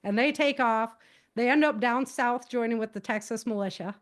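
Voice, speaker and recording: A woman with an American accent speaking casually as a guest author, telling the story of her own novels in an animated, conversational way. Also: on the high side, moderate pace.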